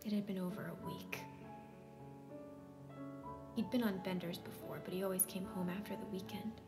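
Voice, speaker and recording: A woman playing an intense, searching woman in a stage drama, often breathy and near a whisper, in an echoey space. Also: medium pitch, steady tone, normal speed, music in the background, close to an everyday voice. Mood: probing, hurt. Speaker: actor in a stage play reading. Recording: echoey hall reverb.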